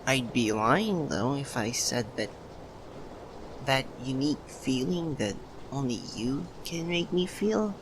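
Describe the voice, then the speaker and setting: A nervous, lovesick young man, played by a male voice actor in an audio roleplay. He talks very slowly, halting and stammering as if to his childhood best friend. There is clear ambient noise behind him. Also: mid-range voice. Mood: confessional, wistful. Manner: hesitant, halting, stammering with pauses. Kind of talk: ASMR roleplay, soft emotional monologue to the listener. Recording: noticeable steady background ambience noise.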